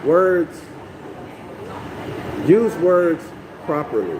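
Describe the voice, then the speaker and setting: A man from North America reads a text aloud and lectures on it to a live audience, his voice sitting a little high. He keeps checking in with listeners and restarts phrases as he explains. Steady background noise with passing traffic sits under his voice. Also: extremely slow. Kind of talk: live-streamed lecture, reading aloud and commenting.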